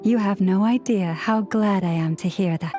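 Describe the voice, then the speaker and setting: A woman as composed, gentle game heroine. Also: mid-range voice, normal speed, soft background music, close to an everyday voice. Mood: relieved. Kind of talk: English voice acting for anime-style video game.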